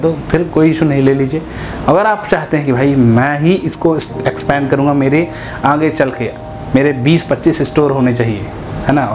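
A man's voice: mid-range voice, noisy background. A young man talking casually to camera as a business advice YouTuber, often asking his viewers "right?" between points, recorded on a lapel mic indoors. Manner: conversational, keeps asking "है ना", gestures with both hands. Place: indoors, grocery-store backdrop.

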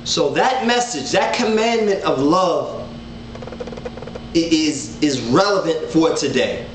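A man's voice: fairly high pitch, slow pace, a little hiss or hum. Earnest man teaching Bible study at home. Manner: earnest, animated hand gestures, repeats words. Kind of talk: casual online Bible teaching. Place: living room at home, indoors. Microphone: webcam at desk distance. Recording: roomy home webcam audio.

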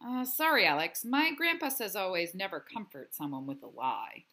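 A woman voicing an honest, awkward young schoolboy in a storybook, self-conscious and expressive. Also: mid-range voice, normal speed. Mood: apologetic.

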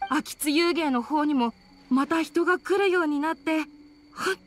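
A woman's voice: sits high, unhurried. A woman voicing a small, innocent young boy youkai in a game, childlike and earnest. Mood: relieved. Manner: childlike, simple, earnest phrasing. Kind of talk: video game cutscene acting.

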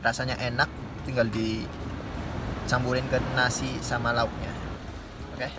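A man's voice: mid-range voice, little pitch movement, unhurried. A man in his twenties from Indonesia, chatting casually to camera as a solo hiking vlogger inside a small tent. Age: 20s. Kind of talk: casual vlog chat to camera. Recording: clear background noise, outdoor ambience.

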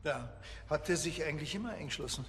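A dry, grumbling Bavarian cop in a TV crime comedy. His voice is somewhat high and gravelly, his delivery laconic, with slight noise behind it. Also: fast talker. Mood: deadpan. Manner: deadpan, laconic. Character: dry, grumbling small-town policeman, middle-aged man. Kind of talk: naturalistic TV crime comedy acting.

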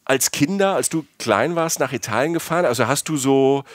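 A man's voice: mid-range voice, fairly quick, no echo, studio quality. A man from south-west Germany, middle-aged, chatting casually and enthusiastically as a podcast host. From Palatinate, south-west Germany. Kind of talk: casual chat.

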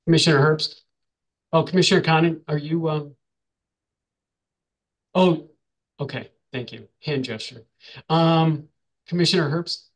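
A man's voice: a little high, unhurried, long pauses. A man chairing a public hearing over a video call, moderating and putting questions to the participants.

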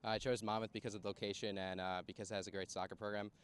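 Deep, very clear young man. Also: flat delivery, racing. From New Jersey. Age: young adult. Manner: hesitant, with ums. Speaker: college soccer recruit. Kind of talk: casual on-camera interview. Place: outdoor soccer field. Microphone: handheld mic.

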